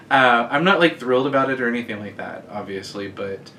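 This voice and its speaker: Man, late thirties, mid-pitched, casual self-deprecating vlogger with a faint rumble behind him. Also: expressive, normal speed, bright, forceful tone. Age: 30s. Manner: chatty, rambling and self-deprecating. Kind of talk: casual direct-to-camera chat. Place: indoors, sitting against a bed frame.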